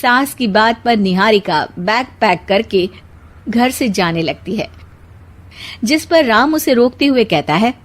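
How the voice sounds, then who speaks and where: A woman narrating a cartoon story in a steady, matter-of-fact scripted style. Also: a little high, a little slow, slight background noise.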